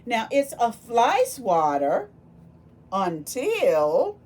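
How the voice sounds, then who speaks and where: A woman reading a picture book aloud to children in an expressive, exclaiming way, speaking directly to her young listeners with questions and asides. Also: fairly high pitch, extremely slow. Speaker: volunteer children's book reader. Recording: steady background noise.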